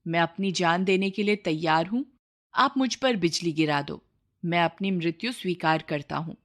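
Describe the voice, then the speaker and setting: A woman's voice: on the low side, normal speed, close to an everyday voice. A woman voicing a humble, selfless village man in a moral story, praying in earnest, solemn pleas. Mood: sad, resigned.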